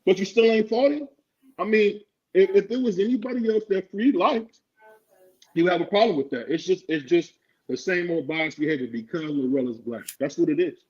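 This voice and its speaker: Husky man on a lo-fi boxing podcast. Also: high voice, a little fast, darkish tone. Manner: joking, argumentative, laughs often. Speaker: podcast co-host. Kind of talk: casual sports talk. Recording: dull lo-fi sound.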